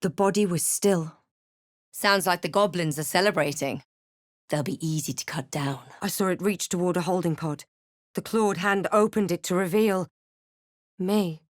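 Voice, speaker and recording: A woman playing a wary, snarky fantasy adventurer in a video game, speaking and narrating in a measured, wry, dramatic way. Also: on the low side, dry recording, studio quality, close to an everyday voice.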